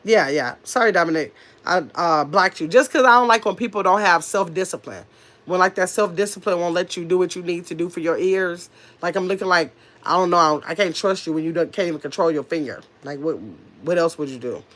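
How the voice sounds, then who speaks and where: A woman's voice: fairly low pitch, a little fast, fairly bright tone. A middle-aged woman with a nasal voice, coming down with a cold, chatting casually on her livestream and answering viewers' comments in a sassy, rambling way. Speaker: livestream host reading chat.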